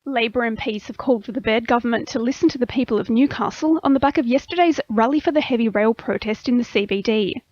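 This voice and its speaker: Higher-voiced Australian woman reading radio news. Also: fast talker, hardly any pauses, darkish tone, muffled recording. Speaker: news reader. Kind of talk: scripted radio news bulletin. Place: dry radio studio.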